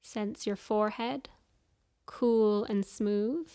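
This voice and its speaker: Female meditation guide, unhurried and soothing, clear smooth tone, mid-range pitch, leaves space between instructions. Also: steady tone, mellow. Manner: soothing, measured instructions with pauses. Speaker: yoga nidra guide.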